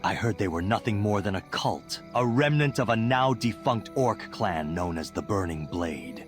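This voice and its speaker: Man playing a self-righteous, lowish-voiced scheming chamberlain. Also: lively intonation, normal speed, soft background music, natural voice. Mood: formal suspicion. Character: scheming, bitter human chamberlain and adviser. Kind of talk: fantasy game cutscene monologue acting.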